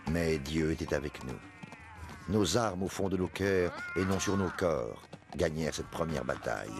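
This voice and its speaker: An actor voicing a proud, devout man recounting his past in solemn, measured narration for an animated film. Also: low-pitched. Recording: music under the voice.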